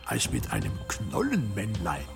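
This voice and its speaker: A man's voice: low-pitched, wildly swooping pitch, measured pace, creaky, over music, heavily acted. A man playing a courteous, commanding archbishop in a radio play, speaking in a genteel, condescending way. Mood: genteel, probing. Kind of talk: German radio play acting.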